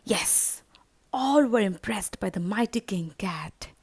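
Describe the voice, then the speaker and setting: A woman storyteller reading a children's story aloud in a dramatic, expressive manner. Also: mid-range voice, slow, husky, no echo.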